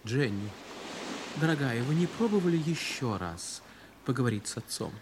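An actor playing an earnest young fiancé in a theatrical 1960s Soviet radio play. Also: male voice, medium pitch, very animated, normal speed, close to an everyday voice. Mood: tender, worried. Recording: noisy old 1960s radio recording.

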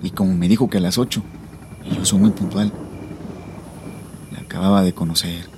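An actor playing an anxious, fatalistic Mexican migrant in a radio drama, with colloquial, brooding speech and a Mexican accent. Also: male voice, low voice, fairly even intonation, moderate pace, close to an everyday voice. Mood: reminiscing. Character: anxious, fatalistic Mexican migrant man waiting to cross the border. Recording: noisy recording with vehicle-like ambience.

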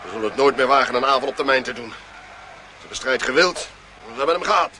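A man's voice: medium pitch, animated, quick pace. An actor playing a commanding, hot-tempered, middle-aged father and mine owner in a radio drama, barking imperious orders. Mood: grimly triumphant. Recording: radio play with loud outdoor noise.

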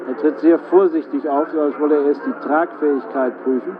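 A man's voice: on the high side, brisk, lo-fi sound, lightly acted. A man giving careful, intent live commentary in the style of a 1960s broadcast. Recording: band-limited old broadcast with crowd noise.

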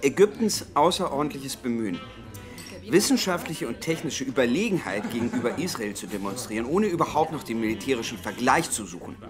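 A man's voice: medium pitch, lightly acted. An actor playing an earnest young man arguing at a dinner table in a radio drama. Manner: lecturing, insistent. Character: Johnny, earnest young man, critical debater.

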